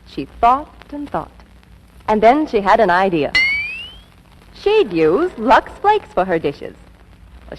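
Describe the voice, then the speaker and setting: A woman playing a chatty storyteller in a 1940s radio advertisement, gushing like she is telling a bedtime story. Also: fairly high pitch. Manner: gushing, theatrical storybook delivery. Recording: noisy old radio broadcast recording.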